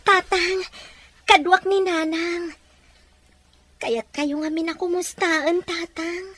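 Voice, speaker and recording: A woman's voice: very high voice, fairly even intonation, takes it slowly, a bit bright, no echo, exaggerated voice. A woman voicing an earnest young daughter who longs for her parents, in an emotional audio drama. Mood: eager.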